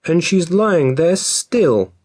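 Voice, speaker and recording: A man voicing a small baby bear in a storybook, with a shrill, raised voice. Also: expressive, takes it slowly, darkish tone, no echo, clean studio sound. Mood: upset. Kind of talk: storybook reading.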